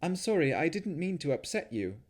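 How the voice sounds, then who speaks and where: Guy doing a young warlock woman, higher and lively, earnest and pleading, storybook reading. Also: moderate pace, close to an everyday voice. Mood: apologetic.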